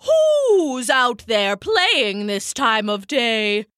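A woman's voice: high voice, sing-song, takes it slowly, sharp tone, dry recording, studio quality, pitched above the performer's own voice, heavily acted. A woman doing a grumpy sleepy owl lady. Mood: exasperated. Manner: exasperated, pleading. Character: sleepy grumpy female owl, easily annoyed.

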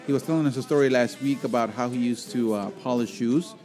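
A man's voice: medium pitch, fast, over music. A man with a Spanish accent hosting a casual podcast from a restaurant, joking and playful, often restarting his sentences. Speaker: podcast host. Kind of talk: casual banter.

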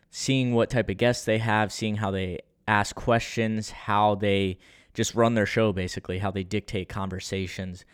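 A young man talks through a solo podcast episode in a flat, unexcited, conversational way, often restarting his sentences. His voice sits low and comes across smooth and clear. The sound is close and dry, with no room around it. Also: dry recording. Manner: monotone, low-key and unexcited. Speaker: podcast host.

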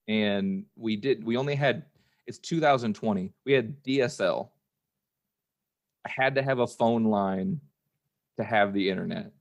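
Easygoing American man in casual conversation. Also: on the low side. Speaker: podcast host. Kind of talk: casual chat.

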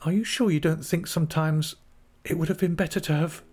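A man's voice: fairly high pitch, steady tone, brisk, a little mellow, breathy edge, pitched above the performer's own voice. A man voicing a timid, childlike young wife, affectionate and naive, with a pleading, cooing delivery in an audiobook of a classic novel. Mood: wistful pleading.

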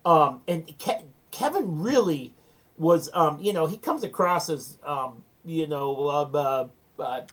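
A man's voice: fairly high pitch, lively intonation, takes it slowly. Chatty American man telling business anecdotes. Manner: chatty, anecdotal, self-deprecating. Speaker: interview guest, small business owner. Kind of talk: casual interview storytelling.

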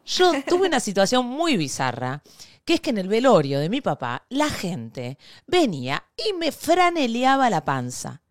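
Podcast co-host, Argentine woman in her 30s, animated storyteller, dry close mic. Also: on the high side, a little slow, no echo. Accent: Argentine Spanish. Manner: animated storyteller, acts out quotes, laughs often. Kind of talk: casual chat.

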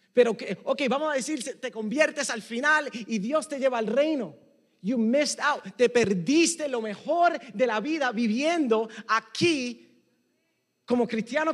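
High-voiced Puerto Rican pastor preaching. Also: male voice. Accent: Puerto Rican Spanish. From Puerto Rico. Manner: preaching, switching between Spanish and English; emphatic, rising and dropping to near hush. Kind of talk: sermon. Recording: long reverb of a hall.

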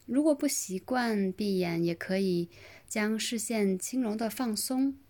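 Slow, gentle woman guiding meditation. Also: medium pitch. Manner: gentle, soothing, unhurried phrasing with long pauses. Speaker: yoga nidra meditation guide. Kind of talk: guided relaxation meditation.

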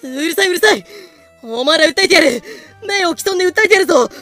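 Casting: blustering, imperious pirate played by a woman, bright forceful voice, short fuse, audio drama with music behind. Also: extremely high pitch, expressive, brisk. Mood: furious. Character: pompous, hot-tempered pirate who bellows insults and threats. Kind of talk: fan-made Japanese voice drama acting.

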